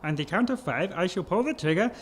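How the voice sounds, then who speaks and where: An actor playing a bossy, short-tempered German baron villain in a comic radio play, with a German accent and a theatrical, scolding delivery. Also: male voice, high-pitched, expressive, fast, breathy edge. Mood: scheming, bossy.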